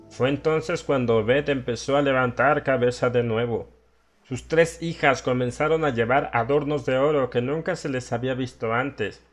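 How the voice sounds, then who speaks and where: A man voicing a hysterical old townsman in a horror audiobook, rambling conspiratorially about dark secrets. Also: medium pitch, lightly acted. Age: older. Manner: agitated, conspiratorial, laughing and questioning his listener. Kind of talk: dramatised horror audiobook monologue.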